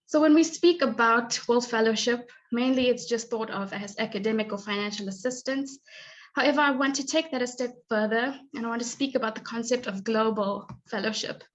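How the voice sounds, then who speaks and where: A woman's voice: a little high, low-quality recording. A woman, giving a prepared talk as a guest speaker and sliding in small laughs and fillers. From born in Zimbabwe, raised in South Africa. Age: 20s. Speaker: guest speaker, graduate student.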